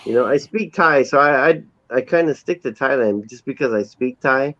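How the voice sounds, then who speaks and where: A man's voice: medium pitch, moderate pace, a bit bright, no echo. Man, casual panelist, swears a lot, mid-range voice, dull lo-fi recording. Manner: loose, swears freely. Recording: dull lo-fi sound.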